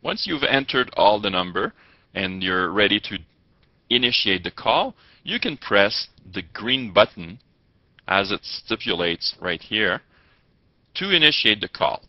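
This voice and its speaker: A man giving step-by-step tutorial narration as an instructor, with halting delivery and formal wording. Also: sits low. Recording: muffled, echoey lo-fi room recording.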